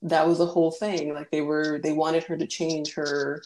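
A woman's voice: low-pitched, level tone, moderate pace, darkish tone, rough recording. A woman hosting an interview who asks long, rambling, thoughtful questions with many fillers. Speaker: host. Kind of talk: conversational interview.

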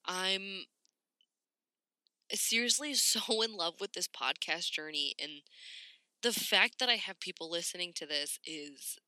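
Bright, slightly raspy woman podcaster. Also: fairly low pitch, unhurried, long pauses, no echo. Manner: candid, informal, sweary, slangy. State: congested, getting over a cold. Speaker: podcast host. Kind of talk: casual solo podcast talk.

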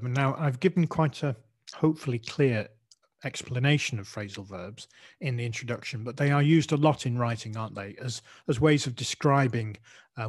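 Man hosting a slow English lesson podcast. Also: medium pitch. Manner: explains slowly, spells out words. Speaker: podcast host, English teacher. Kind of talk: language lesson podcast chat.

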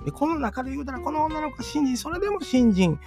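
A man's voice: very high voice, sing-song, fast. A middle-aged man with a Kansai accent musing aloud about a fairy tale in a chatty way, often turning to his listeners with questions. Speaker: storytelling channel host. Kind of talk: casual spoken commentary on a fairy tale. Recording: music playing behind the voice.

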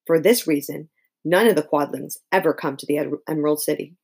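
A woman's voice: sits low, brisk, gravelly, darkish tone, slightly rough, exaggerated voice. A woman reading a storybook, voicing a timid male soldier who explains things plainly. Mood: cautioning. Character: timid male soldier with green whiskers, informative.

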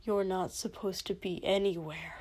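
A woman's voice: fairly low pitch, fairly even intonation, normal speed, natural voice. A woman voicing an earnest, frightened but defiant young man in a story reading, intense and insistent. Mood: guarded, tense. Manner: intense, hissing and pleading. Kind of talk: dramatic audiobook-style character reading.

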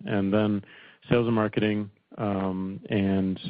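Male executive, low pitch, halting phrasing, repeated words, earnings call answers, tinny phone audio. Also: little pitch movement, no room sound. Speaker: company executive answering analysts. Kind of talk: earnings call remarks, unscripted. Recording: narrow, muffled phone line, dry.

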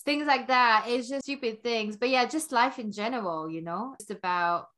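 A woman's voice: fairly high pitch, normal speed, a bit bright. A woman in her forties who grew up in Belgium, a podcast guest chatting casually, with lots of fillers, restarts and laughs. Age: 40s. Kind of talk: casual interview chat.

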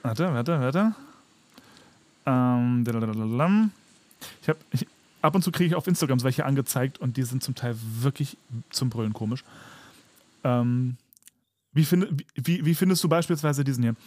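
A man's voice: mid-range voice, animated, normal speed, pauses often, a little mellow. A man co-hosting a podcast in casual comedic chat, joking and making fun of himself. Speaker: podcast co-host.

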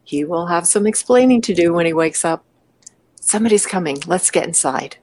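A woman's voice: on the low side, lively intonation, normal speed, a little mellow. A woman playing a bold, practical, scheming partner in crime in an audio drama, talking in a conversational, conspiratorial way. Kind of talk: amateur audio drama dialogue.

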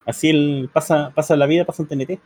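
A man's voice: on the high side, steady tone, quick pace, darkish tone, dry recording. A man with a Chilean accent chatting casually as a football commentator, teasing and jokingly exaggerating. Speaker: football commentator on a group broadcast. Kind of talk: casual football banter during a live match.

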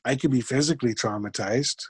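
A man, a guest in an interview, talking reflectively and haltingly, with frequent restarts. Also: medium pitch, husky, dry recording, clean studio sound. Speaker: podcast guest. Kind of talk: conversational interview.

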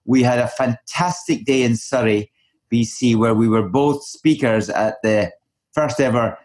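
A man hosts a podcast with upbeat, chatty enthusiasm, introducing his guest and asking easy opening questions. His voice sits at an ordinary middle pitch. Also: darkish tone. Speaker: podcast host, physician. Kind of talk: podcast interview.

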